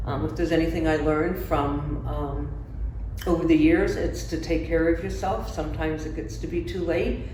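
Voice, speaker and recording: A woman's voice: low-pitched, a little mellow. Older woman chatting in an echoey room. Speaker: pediatric office manager, interview guest. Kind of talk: casual interview chat. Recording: echoey room, distant mic, faint noise.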